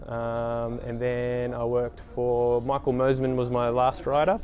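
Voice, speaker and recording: Man, 30s, race mechanic, casual interview, hesitant, monotone. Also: mid-range voice, measured pace. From Australia. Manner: hesitant, many ums and restarts.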